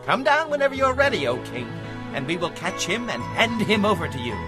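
An actor playing an eager, servile informant in a dramatized audio Bible. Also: male voice, sits high, sing-song, brisk. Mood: eager, fawning. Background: music.

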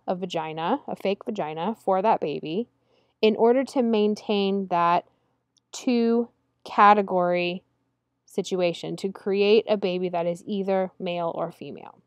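Woman giving a measured slide lecture. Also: medium pitch, no room sound. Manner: measured and explanatory, slowing to stress key terms. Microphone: close voice-over mic over slides.

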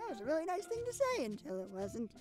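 A woman's voice: high voice, wildly swooping pitch, quick pace, music in the background. A woman voicing a nervous, nerdy teenage boy in a cartoon parody, stammering and apologetic. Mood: flustered.

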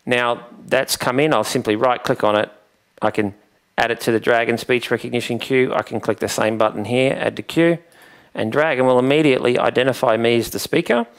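A man in his forties presents a tutorial into a headset mic. His voice has plenty of gravelly creak at a middling pitch. He explains each step in a conversational, matter-of-fact way. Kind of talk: conversational instructional demonstration.

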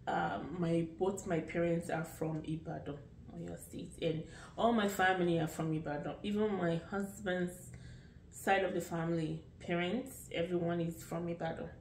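A woman's voice: on the low side, fairly even intonation, vocal fry, darkish tone, roomy sound, recorded from a distance, slight background noise. A woman in her late thirties from Nigeria with a Nigerian accent, chatting casually and rambling a little as she answers questions about herself. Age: late 30s. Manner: unhurried, chatty, rambling with restarts. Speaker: vlogger. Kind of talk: casual get-to-know-me vlog.

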